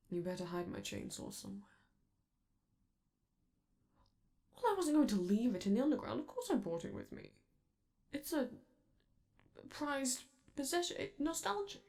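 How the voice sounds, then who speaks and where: A woman playing a flamboyant, protective robot entertainer in an intimate ASMR comfort roleplay, speaking softly and theatrically with affection, hesitance and breathy drops into whispers. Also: mid-range voice. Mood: wry, playful.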